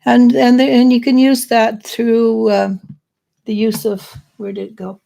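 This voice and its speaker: Husky-voiced woman psychic, gravelly and mellow. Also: a little high, little pitch movement, a little slow. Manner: rambling, restarting sentences. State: losing her voice, clearing throat. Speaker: psychic reader and show host. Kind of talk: live-stream spiritual advice chat.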